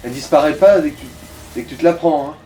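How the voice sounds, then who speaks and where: A man's voice: on the high side, quick pace, dark tone, recorded from a distance, soft background music, poor audio. A man giving casual, informal gaming commentary, coaching a player and swearing now and then. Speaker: let's play co-host guiding the player.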